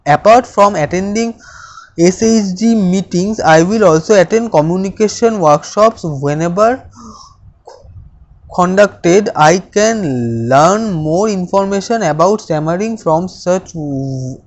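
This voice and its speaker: A speaker, reading self-affirmations aloud slowly and deliberately, stammering with repeated words and restarts. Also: mid-range voice, expressive. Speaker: speech practice coach.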